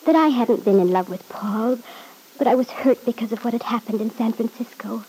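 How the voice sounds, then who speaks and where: An actress in a classic radio soap opera playing a fragile, lonely wife testifying hesitantly, on a noisy old recording. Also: female voice, on the high side, warm tone, natural voice. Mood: sad, halting. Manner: hesitant, halting.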